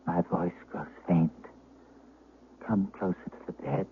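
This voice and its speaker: An actor playing a dying shepherd in his nineties in a radio drama, with a faint, failing voice and slow, solemn delivery. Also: deep voice, animated, gravelly, heavily acted. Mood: grave, weakening. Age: older. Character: dying ninety-four-year-old shepherd, grave, confessing. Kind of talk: 1970s radio drama acting. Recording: tinny, noisy old radio broadcast.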